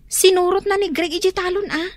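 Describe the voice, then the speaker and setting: A young woman with an Ilocano accent plays a hurried, defensive daughter in a radio drama. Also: extremely high pitch, fairly even intonation, dry recording.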